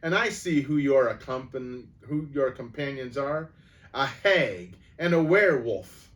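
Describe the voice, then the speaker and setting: A man voicing a young king who speaks with righteous, booming indignation in a storybook reading. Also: normal speed, lightly acted. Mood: indignant.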